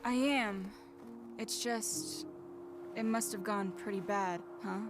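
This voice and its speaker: An actress voicing a tough, guarded and weary young woman fighter in an animated series, with music playing loudly behind her. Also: medium pitch, takes it slowly, natural voice. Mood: guarded, subdued. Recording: played back under loud music.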